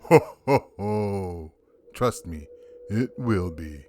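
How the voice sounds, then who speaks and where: Audio drama Santa: weary, jolly boss turned rebel, indignant and theatrical, highly animated delivery. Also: male voice, very slow, full character voice. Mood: sly. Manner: theatrical, grumbling.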